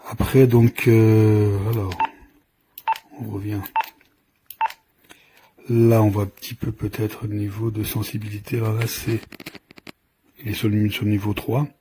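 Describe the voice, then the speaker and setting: A man's voice: on the low side, flat delivery, measured pace, long pauses, very noisy. A man giving an informal hands-on product demonstration, explaining in a hesitant, improvised way as he goes. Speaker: product reviewer.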